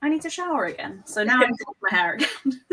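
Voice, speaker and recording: A woman's voice: high voice, big pitch swings, fast, crowd noise. A young English woman hosting a reading livestream, chatting casually with guests and laughing often as she rambles. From England. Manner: giggly, rambling, self-deprecating. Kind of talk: casual chat on a video call.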